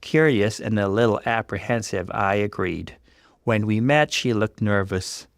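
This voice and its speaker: Creaky, low-voiced man narrating a story. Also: a little slow, no room sound, studio quality. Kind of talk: dramatic scripted first-person story reading.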